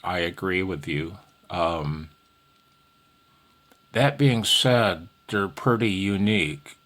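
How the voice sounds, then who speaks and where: Man, deep pitch, very slow pace, casual rambling explanation, folksy, nervous, self-deprecating. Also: a bit bright. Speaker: amateur radio instructor. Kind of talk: casual, rambling tutorial talk.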